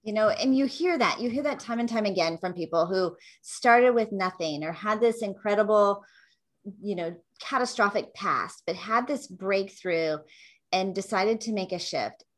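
A woman's voice: mid-range voice, normal speed. A woman hosting an interview show, upbeat and enthusiastic as she introduces and questions her guest. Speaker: podcast host.

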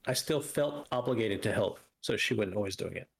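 A man's voice: lowish voice, expressive, brisk, gravelly, breathy edge. Man chatting casually as podcast co-host. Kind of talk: casual chat with live chat banter.

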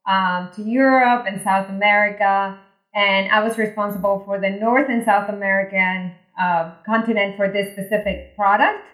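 A woman's voice: mid-range voice, fairly bright tone. Friendly, reflective woman guest in her forties, from Venezuela, recorded in an echoey room. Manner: friendly, reflective, laughs easily. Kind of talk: casual interview.

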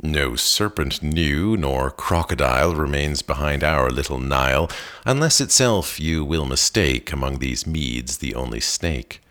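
A man with a very deep voice reads a poem aloud at an unhurried pace. His delivery is expressive, with a lively, rising and falling pitch that climbs on the exclamations. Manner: measured, expressive reading with lively rises on exclamations. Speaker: poetry reader. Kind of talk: poetry reading.

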